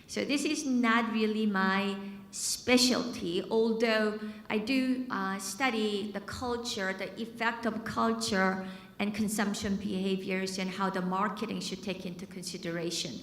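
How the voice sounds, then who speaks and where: A woman in her fifties with a Korean accent mixed with American and British sounds gives a conference talk in an easy, chatty way. Her voice sits at a middle pitch, and she uses fillers and repeated words. The sound rings with the long reverb of a large hall. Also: fairly even intonation, measured pace, fairly bright tone. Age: 50s. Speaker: business school dean and professor.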